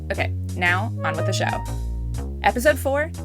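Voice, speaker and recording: A woman's voice: medium pitch, animated, moderate pace. A woman reading a scripted podcast announcement as its announcer. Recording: loud music under the voice. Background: loud instrumental music.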